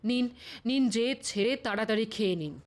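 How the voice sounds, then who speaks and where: A woman's voice: a little high, fairly quick, close to an everyday voice. A woman voicing a strict, scolding cartoon queen with a firm, nagging manner. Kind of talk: comic cartoon acting.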